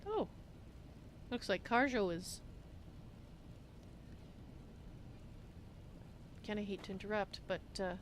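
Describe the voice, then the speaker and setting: A woman's voice: mid-range voice, normal speed, slight background noise, natural voice. A woman voicing a polite, chatty female cat-folk adventurer in improvised fantasy game roleplay, referring to herself in the third person. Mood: casual, friendly. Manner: speaks of self in third person. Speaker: roleplay streamer.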